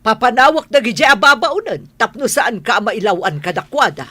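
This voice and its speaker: A woman's voice: fairly high pitch, sing-song, a little fast, sharp tone, dry recording, heavily acted. A woman playing a stern, haughty mother and mistress of the house in a radio drama, scolding and imperious. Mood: angry, imperious. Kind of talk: Ilocano radio drama acting.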